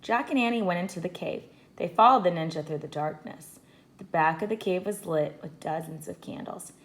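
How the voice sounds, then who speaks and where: A woman's voice: fairly low pitch, normal speed. Woman narrating a children's storybook. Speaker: teacher reading a children's book aloud. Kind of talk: expressive scripted storybook narration.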